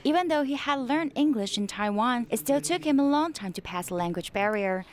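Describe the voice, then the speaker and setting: A woman reporting a news story in an even, measured read. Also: high-pitched.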